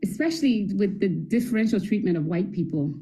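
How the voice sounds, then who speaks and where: Mellow middle-aged woman telling stories. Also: mid-range voice, lively intonation, brisk. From Haiti. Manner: chatty storytelling with laughs and self-corrections. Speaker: guest academic. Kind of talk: conversational interview answers.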